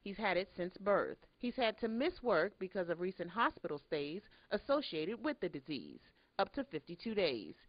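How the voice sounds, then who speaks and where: A woman's voice: very animated, normal speed, hardly any pauses, dry recording. A woman working as a TV news reporter, reading scripted news narration. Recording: dull, muffled low-fidelity audio.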